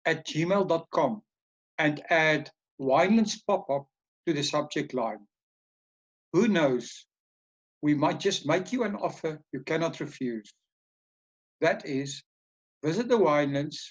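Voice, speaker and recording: A man's voice: fairly high pitch, expressive, takes it slowly, pauses often, muffled recording. A man hosting a show, reading an announcer-style intro and then interviewing a guest. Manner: announcer-like, reads lists. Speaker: show host.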